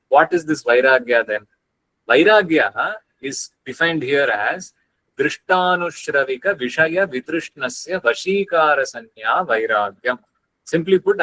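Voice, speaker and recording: A man's voice: a little high, a bit bright, rough recording. A young man with a South Indian accent giving an online lecture over a video call, explaining ideas earnestly and reciting Sanskrit verses. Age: young adult. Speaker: guest lecturer. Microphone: webcam on video call.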